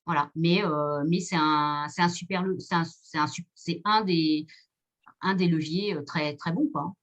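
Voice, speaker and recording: A woman's voice: sits low, a little slow, lo-fi sound. A woman talking casually as a trainer in a webinar, explaining in a hesitant, meandering way with many repeated words. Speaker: marketing consultant and trainer speaking in a webinar.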